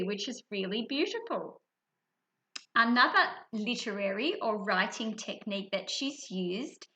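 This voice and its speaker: Female teacher for a children's reading lesson. Very lively intonation, bright tone, emphatic on key words. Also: fairly high pitch. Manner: expressive, stresses key words.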